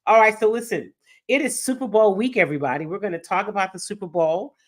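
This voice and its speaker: A woman's voice: medium pitch, fast. A middle-aged woman from Texas hosting a live sports talk show, her voice nasal from a cold, upbeat and chatting directly with viewers. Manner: upbeat, addresses viewers directly, reads chat aloud. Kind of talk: live-streamed casual show chat.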